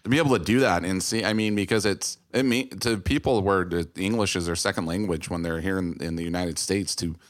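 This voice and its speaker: Low-voiced man, casual interviewer. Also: brisk, few pauses. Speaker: interviewer, co-host.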